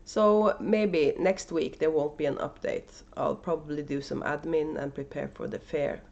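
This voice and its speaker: A woman's voice: low voice, fairly even intonation, measured pace, gravelly, darkish tone. Norwegian woman chatting hesitantly, muffled sound. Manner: hesitant, many fillers and restarts. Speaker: knitting podcast host and yarn dyer. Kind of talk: casual unedited video podcast chat. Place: at home, indoors. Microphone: camera microphone.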